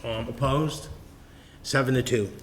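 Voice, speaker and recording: A man's voice: medium pitch, fairly even intonation, a little slow. A man chairing a town finance committee meeting, chatty and thinking aloud. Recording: public access meeting recording with faint noise.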